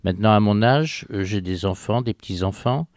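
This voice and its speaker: An older man with a slight Parisian accent, a guest in a radio studio, telling his story in a soft-spoken, unhurried way. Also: fairly low pitch, dry recording. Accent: slight Parisian French. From Paris, France. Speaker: radio guest, heritage association president. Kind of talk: casual radio interview.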